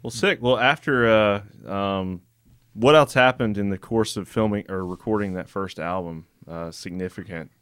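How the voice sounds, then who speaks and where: A man's voice: fairly low pitch, normal speed, fairly bright tone, clean tone, no echo. A man with a Southern American accent, hosting a casual conversational interview. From Alabama, American South. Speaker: interviewer, host.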